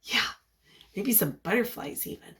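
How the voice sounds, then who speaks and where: A woman reading a picture book aloud as a teacher, expressive and encouraging, pausing to put questions to young listeners. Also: mid-range voice, a little hiss or hum.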